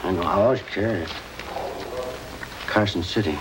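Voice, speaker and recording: A man's voice: low-pitched, moderate pace, close to an everyday voice. An actor playing an eccentric older ex-circus man who rambles insistently, in a 1950s radio drama. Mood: rambling. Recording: old radio broadcast with hiss.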